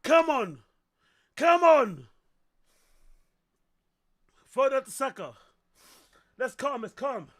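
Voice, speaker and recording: A man reacting live to a football match, excitable and yelling and swearing at the screen. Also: very high-pitched, very slow, long pauses. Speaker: streamer host.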